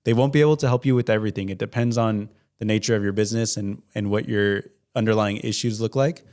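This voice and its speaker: A man in his twenties, an accountant guest in an interview, giving earnest, measured explanations. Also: lowish voice, steady tone, a little mellow. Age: 20s. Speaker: certified public accountant, guest. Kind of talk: interview answers.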